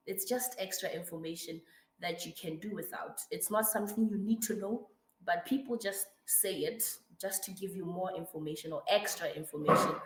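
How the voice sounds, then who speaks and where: A woman with a hoarse, raspy voice from the flu, patiently explaining grammar to a student in a one-to-one lesson and checking in often. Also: lowish voice, a bit bright, echoey room, low-quality recording. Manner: patient explaining with frequent checks like "right?" and "mm-hmm". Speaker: English tutor.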